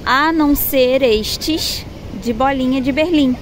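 A woman's voice: sits high, slow, poor audio. A woman in her thirties with a Brazilian Portuguese accent, chatting casually to the camera as a travel vlogger, repeating words for emphasis. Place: outdoors by a seaside town, windy. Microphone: phone camera held at arm's length. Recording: outdoor phone audio with wind and traffic noise.

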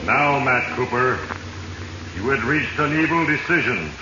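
Sinister male Fate, acted by a man, vintage radio narrator; portentous, mid-pitched, with heavy echo. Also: lively intonation, unhurried, close to an everyday voice. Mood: ominous, gloating. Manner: heavy-handed, ominous. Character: Fate, sinister male narrator gloating over doomed men. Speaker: host narrator. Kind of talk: 1940s radio acting, second-person narration. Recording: muffled, noisy, echoing 1940s radio recording.

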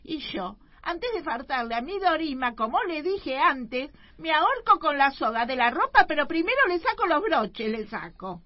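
Woman playing shrill-high, melodramatic Argentine tenement wife. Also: sing-song, moderate pace, hardly any pauses, no room sound, dull audio. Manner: exclamatory, theatrical. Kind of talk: comic stage radio play acting.

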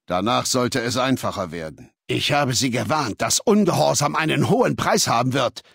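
An actor playing an imperious, menacing warlord ruler in a video game, speaking in a commanding, condescending, deliberate manner. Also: male voice, mid-range voice, expressive, gravelly, fairly bright tone, slightly rough, no room sound, studio quality, exaggerated voice. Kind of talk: video game dialogue in German dub.

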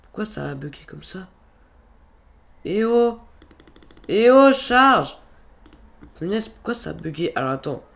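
Jeune homme enrhumé, tutoriel décousu. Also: male voice, high voice, sing-song, measured pace, creaky, long pauses, fairly bright tone. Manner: rambling, many fillers and restarts. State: has a cold. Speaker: tutorial youtuber. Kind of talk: casual screen-recorded tutorial. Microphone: computer microphone.

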